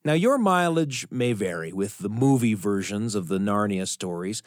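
A man's voice: fairly low pitch, lively intonation, no room sound. Man telling a personal story, dry studio sound. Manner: earnest, reflective, deliberate pauses for emphasis. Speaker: radio storytelling show host. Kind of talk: personal storytelling, scripted narration.

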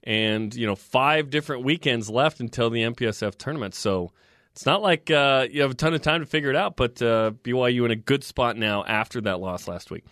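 A man hosting a sports talk radio show, recapping results and reading trivia in a steady, informative way. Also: mid-range voice, brisk, dry recording.

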